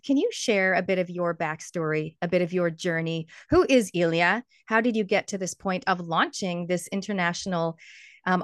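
A woman's voice: on the low side, dry recording, studio quality. Bubbly, enthusiastic woman podcast host. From New Zealand. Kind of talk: upbeat interview hosting.

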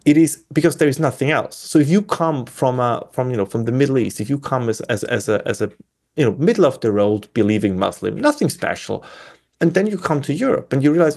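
A man's voice: medium pitch, a little mellow. A man with an Austrian German accent, a guest in a conversational discussion who speaks thoughtfully and often restarts his phrases while he searches for words.

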